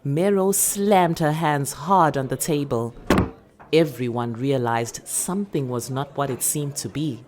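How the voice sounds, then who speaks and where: A South African woman reading a literary short story with expressive, deliberate, dramatic delivery. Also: extremely low pitch.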